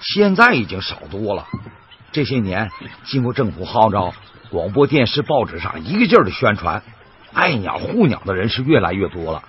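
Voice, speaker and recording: A man's voice: fairly low pitch, very animated, moderate pace, a little hiss or hum. An actor playing an earnest, good-hearted middle-aged boatman with a folksy, chatty manner in a radio drama. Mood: matter-of-fact. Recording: muffled lo-fi broadcast with music behind.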